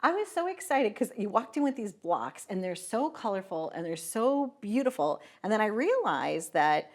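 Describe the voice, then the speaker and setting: A woman's voice: mid-range voice, sing-song, normal speed. A woman hosting a talk show, interviewing guests in an enthusiastic, talkative way and often tossing in "right?". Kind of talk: friendly interview chat.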